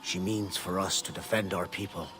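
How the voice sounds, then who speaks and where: An actor playing a dutiful village tribesman in a video game, calm, firm and measured. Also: deep voice, over music, lightly acted. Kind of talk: dramatic video game acting.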